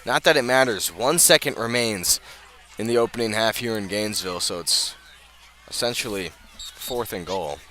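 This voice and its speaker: A man calling live American football play-by-play for radio, moving between measured narration and raised, excited calls. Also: lowish voice. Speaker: play-by-play sports commentator. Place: outdoors at a high school football field. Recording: noisy outdoor stadium, live broadcast.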